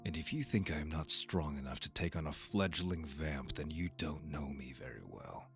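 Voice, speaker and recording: An actor playing a scornful young monster hunter, a haughty estranged brother, in an audio roleplay drama with a sneering, deliberate delivery. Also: male voice, rumbling low, creaky, a bit bright, soft background music, heavily acted. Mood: defiant contempt.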